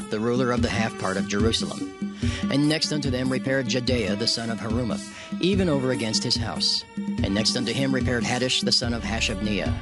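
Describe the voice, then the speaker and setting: Man, scripture narrator, measured solemn reading, mid-pitched voice, loud background music.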